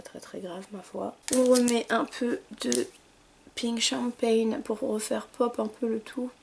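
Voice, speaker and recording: Woman, beauty vlogger, bubbly tutorial chat, fairly high voice, soft trailing asides. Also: slight background noise.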